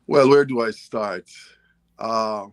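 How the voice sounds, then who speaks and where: Gravelly low-voiced man telling his story. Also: slow pace. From Guyana. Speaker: guest author and poet. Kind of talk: casual interview talk.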